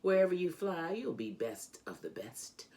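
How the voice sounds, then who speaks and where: Very deep-voiced woman reading a storybook expressively. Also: moderate pace. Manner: animated, sing-song storytelling. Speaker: host of a children's read-along show. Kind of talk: expressive storybook read-aloud.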